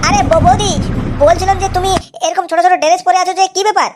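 An actor voicing a crude, excitable young cartoon man who stammers and swears in an exaggerated comic style. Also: very high-pitched, fast, very noisy. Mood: nervous, lustful. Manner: stammering, repeats words, swears constantly. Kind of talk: exaggerated comedy cartoon voice acting.

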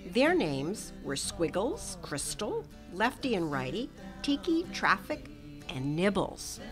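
A woman reading a storybook aloud to children in a gentle, expressive way. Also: on the low side, music in the background. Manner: gentle, expressive, slow and lively. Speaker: storybook reader.

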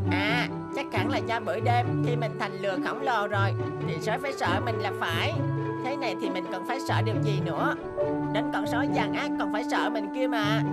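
Woman voicing a cocky, high-pitched young donkey. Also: close to an everyday voice. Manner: boastful, whiny, talks to himself. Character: cocky, naive young boy donkey, boastful and stubborn. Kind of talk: animated fairy-tale voice acting.

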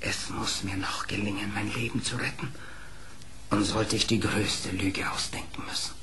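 An actor playing a sly, smooth-tongued fox in a radio play, speaking in a formal, theatrical, pleading manner. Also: male voice, lowish voice, flat delivery, moderate pace, whispered, lightly acted. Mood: grimly scheming. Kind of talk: classic German radio play acting. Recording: old radio play record, noisy.

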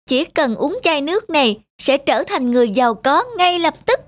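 High-pitched little monkey boy. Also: lively intonation, normal speed. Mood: mischievous, excited. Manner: childlike, earnest, polite. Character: eager naive little monkey boy apprentice. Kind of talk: dubbed children's moral cartoon. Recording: music behind the dialogue.

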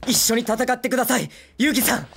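Anime boy hero: excitable, brash teen, very lively melodic swings, eager exclamations, faint music behind. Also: extremely high pitch, brisk, creaky, exaggerated voice. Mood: earnest, urgent. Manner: cocky, eager, exclamatory, boyish speech. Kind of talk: Japanese anime film acting.

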